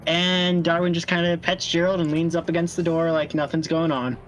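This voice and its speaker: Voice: mid-range voice, level tone, fairly quick, slight background noise. A tabletop game player casually narrating what their character does in the third person. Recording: music playing softly behind. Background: faint background music.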